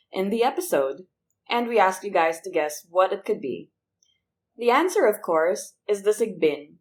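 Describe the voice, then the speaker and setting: Upbeat woman presenting a podcast outro. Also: medium pitch. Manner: upbeat, chatty, addressing listeners directly. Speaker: podcast co-creator and co-producer. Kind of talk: scripted podcast outro announcements.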